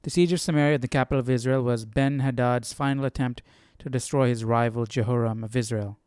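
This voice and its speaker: A man reading aloud and commenting casually, thinking out loud in informal, slangy words. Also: medium pitch, steady tone, a little fast, clean tone, dry recording, clean studio sound. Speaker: host of a live Bible study stream.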